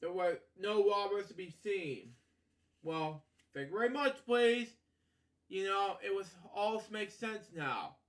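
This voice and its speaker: A man with a high-pitched voice reads a children's bedtime story at a slow pace. He is halting, stumbles and repeats phrases, and often breaks off into rambling asides with the same small filler phrases. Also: animated. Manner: halting, stumbling over words, repeating himself; frequent filler catchphrases like "okay" and "you know". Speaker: storytelling host.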